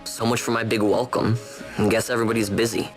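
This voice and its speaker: A man's voice: fairly low pitch, little pitch movement, brisk, music in the background, close to an everyday voice, poor audio. An actor playing a cocky young street boy in a video game, with a casual, boastful manner. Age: young adult. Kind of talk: video game drama acting.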